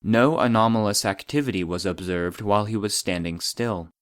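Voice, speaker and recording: An actor playing the formal, detached voice of a secret archive database, narrating a scripted report in an audio drama. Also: male voice, on the low side, moderate pace, dry recording, clean studio sound, close to an everyday voice. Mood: clinical, detached.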